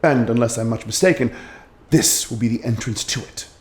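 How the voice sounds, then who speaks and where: A man playing a brilliant detective who gives crisp, commanding explanations and grows excitable in an audio drama. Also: mid-range voice, moderate pace, natural voice. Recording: echoey room.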